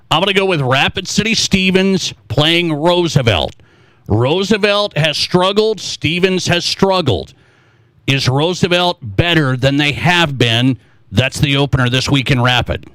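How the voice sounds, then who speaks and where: American male sports radio host, slightly higher voice. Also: a little slow, a bit bright, no echo, rough recording. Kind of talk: sports talk interview.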